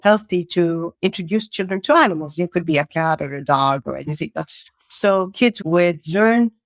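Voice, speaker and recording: A woman's voice: fairly low pitch, normal speed, dry recording, clean studio sound. A middle-aged woman from Saudi Arabia, a podcast guest talking in a casual, anecdotal way.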